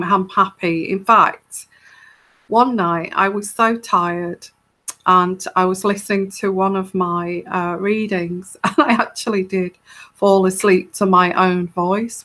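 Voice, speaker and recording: A woman with a soft, soothing voice hosts a live tarot question-and-answer stream. She talks slowly and casually, wandering through her thoughts with plenty of ums and restarts. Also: mid-range voice. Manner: rambling, hesitant, many fillers and restarts. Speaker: tarot reader hosting a live Q&A.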